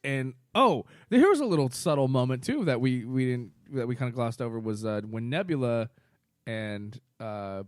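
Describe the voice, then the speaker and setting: A man's voice: mid-range voice, lively intonation, moderate pace, no echo, clean studio sound. A young American man co-hosting a podcast, chatting casually about films in slangy, profane bro talk. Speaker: podcast co-host. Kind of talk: casual movie discussion with friends.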